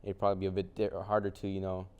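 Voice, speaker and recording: A young man from Mexico whose first language is Spanish, speaking in a low, smooth, mellow voice. He answers easygoing questions in loose, casual talk, dotted with fillers. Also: racing. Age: young adult. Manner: relaxed, many fillers like "um" and "like". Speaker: guest, vintage clothing reseller. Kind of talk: casual interview conversation.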